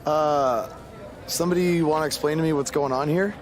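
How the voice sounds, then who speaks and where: A man's voice: fairly high pitch, normal speed, background noise, close to an everyday voice. Dry, sceptical young wrestler played by a man. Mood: baffled, polite. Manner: dry, hesitant, unimpressed. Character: weary, sceptical young male indie wrestler. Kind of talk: video game cutscene acting.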